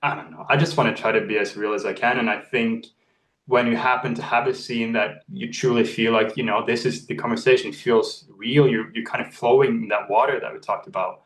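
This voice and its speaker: A man's voice: medium pitch, fairly even intonation, a little fast, fairly bright tone, roomy sound, distant mic. A young man from Norway, an actor talking earnestly in a casual interview, often restarting his phrases. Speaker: actor and model, interview guest. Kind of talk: reflective casual conversation.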